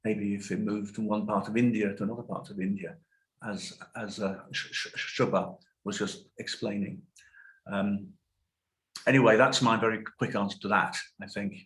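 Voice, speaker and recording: A man's voice: lowish voice, fairly even intonation, normal speed, fairly bright tone, poor audio. Thoughtful older man answering questions. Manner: thoughtful, hedging, self-correcting. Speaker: guest lecturer answering questions. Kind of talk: academic Q&A over video call. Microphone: video call.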